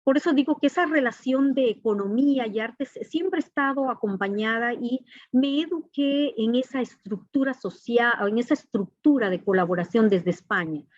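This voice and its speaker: A woman's voice: fairly high pitch, moderate pace. A woman from Ecuador, giving earnest, formal, explanatory answers as an interview guest. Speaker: interview guest, artist and professor. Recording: dull, echoey lo-fi call audio.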